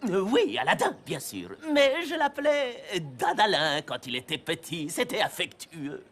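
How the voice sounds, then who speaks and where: An actor voicing a scheming sorcerer who poses as a long-lost uncle, wheedling and falsely affectionate, in a cartoon dub. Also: male voice, high-pitched, sing-song, unhurried, fairly bright tone, full character voice. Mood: sly. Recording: music under dialogue.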